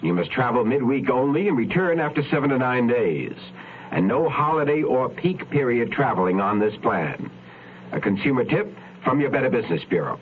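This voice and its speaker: A man reading a scripted consumer advice announcement in a measured, instructive manner. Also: medium pitch, sing-song. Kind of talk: scripted public service announcement. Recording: tinny, band-limited radio recording, faint noise.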